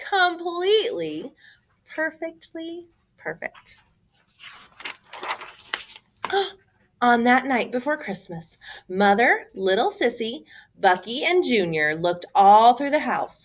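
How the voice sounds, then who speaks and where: A woman tells a children's picture story in a fairly high voice. She reads at an unhurried pace with big swings in pitch, leaning theatrically on key words. The delivery is expressive and made for young listeners. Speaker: read-aloud storyteller. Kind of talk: animated children's picture-book read-aloud.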